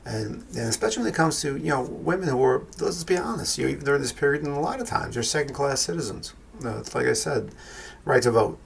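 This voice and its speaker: Gravelly New York man reviewing a film. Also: mid-range voice, slight background noise. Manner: rambling, thoughtful, many restarts and fillers. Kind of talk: casual spoken film review.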